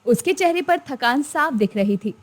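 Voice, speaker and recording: High-voiced woman narrating a bedtime story. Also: animated, moderate pace.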